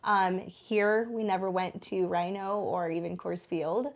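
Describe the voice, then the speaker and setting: A woman's voice: on the low side, fairly even intonation, a little slow, vocal fry. An American woman in her thirties speaking informally as an interview guest, in a chatty way with lots of casual filler words like "like". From born in the Philippines, raised in Denver from age eight. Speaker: interview guest, small business co-owner. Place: small studio.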